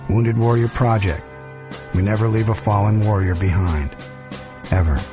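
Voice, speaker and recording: A man's voice: lowish voice, moderate pace, music in the background. A man reading a charity ad voice-over in an earnest, solemn way. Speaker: ad voice-over announcer. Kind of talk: scripted charity ad read.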